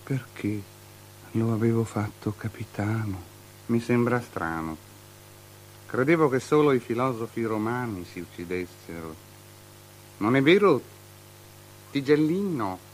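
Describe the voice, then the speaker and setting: A man's voice: mid-range voice, lively intonation, a little slow, pauses often, slight background noise, natural voice, low-quality recording. Man playing a capricious, decadent drunken king. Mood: puzzled. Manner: mannered, swinging from mutters and whispers to loud declaiming. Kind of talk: avant-garde theatrical radio drama acting. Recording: radio drama with music underneath.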